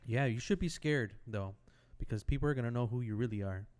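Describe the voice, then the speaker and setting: A man co-hosting a casual podcast, teasing the others with jokes. His voice is smooth and clear at a middle pitch. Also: a little fast, a little mellow. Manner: teasing, jokey. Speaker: podcast co-host.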